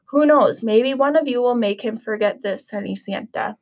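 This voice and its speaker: Teacher lady doing a showy fussy stepmom from a storybook, fawns all over, bit high voice. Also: normal speed, a little mellow. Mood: scheming. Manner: mixes Spanish words into English speech. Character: pompous middle-aged stepmother, fawning and scheming.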